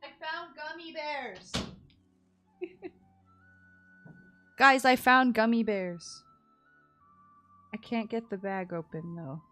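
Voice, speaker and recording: A woman chats casually as she streams a game. Her voice is on the high side and unhurried, with a playful, giggly, teasing manner. Soft music sits behind her. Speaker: game livestreamer. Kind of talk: casual chat while playing.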